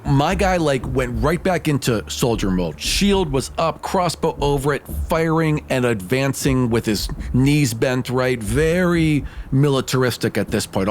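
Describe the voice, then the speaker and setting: A man's voice: mid-range voice, a little hiss or hum. A man playing a tabletop game, casually narrating his character's moves and dice rolls.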